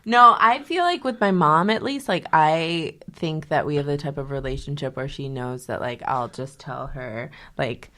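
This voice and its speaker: A young woman in casual podcast chat, responsive and quick to laugh. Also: low-pitched, pushed and bright.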